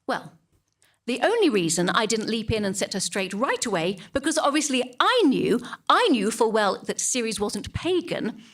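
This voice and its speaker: A woman's voice: a little high, very animated, moderate pace, a bit bright. A woman playing a smug, chatty middle-class English churchgoing mother in a comic monologue, prim and gossipy, with an English accent. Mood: catty, defensive. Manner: prim, gossipy, self-justifying asides.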